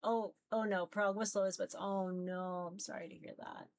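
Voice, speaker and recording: A woman's voice: mid-range voice, fairly even intonation, moderate pace, vocal fry, darkish tone. A woman chatting casually to viewers as a livestreamer, informal and rambling, with a giggly manner. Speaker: visual novel streamer.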